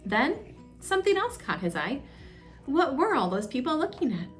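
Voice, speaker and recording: Expressive higher-voiced woman reading a children's storybook. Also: normal speed, soft background music. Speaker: children's story time reader. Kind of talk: read-aloud children's storybook narration.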